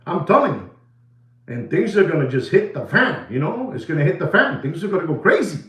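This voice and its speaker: A man's voice: a little high, big pitch swings, a little fast, fairly bright tone, reverberant. A man from New York with a Puerto Rican accent, chatting on a livestream, rambling with lots of fillers and bits of Spanish. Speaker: livestream host. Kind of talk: casual livestream chat.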